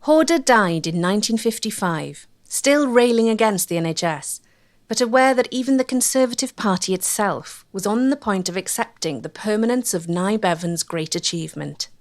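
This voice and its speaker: A woman's voice: medium pitch, lively intonation, moderate pace. A woman delivering scripted documentary narration.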